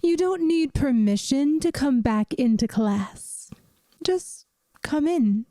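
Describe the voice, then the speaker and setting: A woman playing a centuries-old vampire math teacher in an ASMR roleplay, gentle, wry and reassuring, with a soft, soothing, teacherly manner. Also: sits high, lively intonation, takes it slowly, no echo, studio quality. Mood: gently welcoming.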